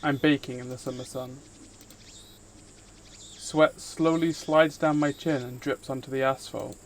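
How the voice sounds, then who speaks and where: A man's voice: mid-range voice, steady tone, measured pace, slight background noise. Male streamer reading a story aloud. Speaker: streamer, VTuber host. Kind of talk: live stream, reading visual novel text aloud and chatting to viewers.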